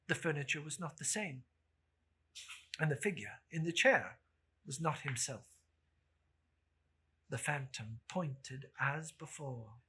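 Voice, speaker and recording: Slow, husky woman narrating dramatically. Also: deep voice, bright, forceful tone. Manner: solemn, theatrical, deliberate phrasing.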